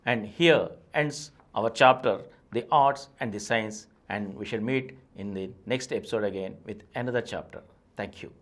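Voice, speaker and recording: A man's voice: on the low side, background noise. Middle-aged Indian man reading aloud. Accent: Indian English. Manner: steady, deliberate reading, eyes on the page. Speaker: channel host reading a book aloud. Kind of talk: read-aloud narration of a nonfiction book. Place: small studio with a painted backdrop and plants. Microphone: lapel mic.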